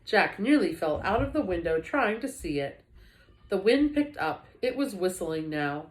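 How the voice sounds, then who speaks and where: Echoey, animated woman reading a storybook. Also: on the low side, measured pace. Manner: animated, dramatic emphasis for young listeners. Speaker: teacher reading a children's book aloud. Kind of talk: expressive storybook read-aloud. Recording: echoey room.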